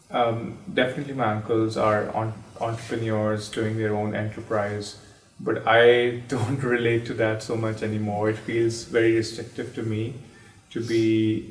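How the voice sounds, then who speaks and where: A man in his thirties from Pakistan tells a personal story slowly and reflectively, with many ums and restarts. His voice stays flat and even, heard from some distance in an echoey room. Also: medium pitch. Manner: hesitant, many fillers and restarts.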